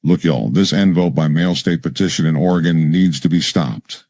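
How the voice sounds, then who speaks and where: A man playing a deep-voiced joking uncle. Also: normal speed, darkish tone, dry recording. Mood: mocking. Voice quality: deep baritone. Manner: mocking, jokey. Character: comic uncle character with deep bronchitis voice. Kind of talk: parody political ad.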